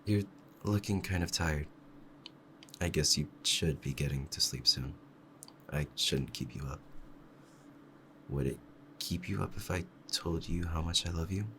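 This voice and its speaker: A man playing a devoted, clingy yandere fox-spirit husband in an intimate ASMR roleplay, speaking in a soft, tender bedtime murmur. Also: deep voice, unhurried, pauses often, slight background noise. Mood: tender, adoring.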